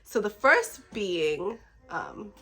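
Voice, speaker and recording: A woman speaks as a book vlogger in a casual, chatty style, sounding slightly sick. Her delivery is effusive and rambling with a giggly streak, and her pitch sits in the middle range for a woman. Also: sing-song, drawn-out words, fairly bright tone, breathy edge.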